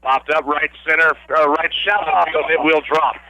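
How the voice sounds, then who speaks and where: A man's voice: on the high side, steady tone, normal speed, bright, forceful tone, slight background noise, low-quality recording. A man working as a radio announcer, giving live baseball play-by-play in short, descriptive phrases from the ballfield. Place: outdoor ballfield broadcast position.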